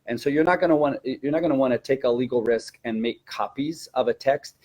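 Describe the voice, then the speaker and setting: A man's voice: mid-range voice, brisk, darkish tone. Man instructing teachers in mid-range voice. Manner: explanatory, instructive. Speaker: teacher and trainer presenting a webinar. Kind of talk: informal webinar discussion of teaching techniques.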